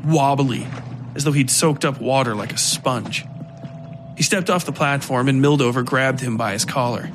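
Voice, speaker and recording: A man narrating scripted dark horror fiction as a podcast storyteller. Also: medium pitch, moderate pace, background noise.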